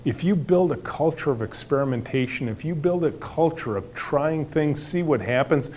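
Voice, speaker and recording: A middle-aged man with a big booming voice, telling workplace stories to an audience and acting out the dialogue with animation. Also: moderate pace, a little hiss or hum. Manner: animated storyteller acting out dialogue, some restarts. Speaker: company leader giving a talk. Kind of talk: storytelling talk to an audience.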